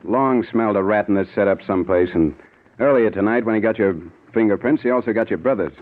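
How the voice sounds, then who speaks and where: An actor playing a blunt, skeptical American detective captain in 1940s radio style, on a tinny old broadcast. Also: male voice, lowish voice, quick pace, close to an everyday voice. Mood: brisk authority. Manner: blunt, commanding, sardonic.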